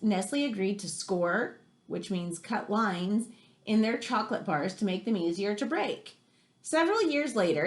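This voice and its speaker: A woman reading a nonfiction book aloud for children, chatty and exclamatory, with casual asides. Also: a little high.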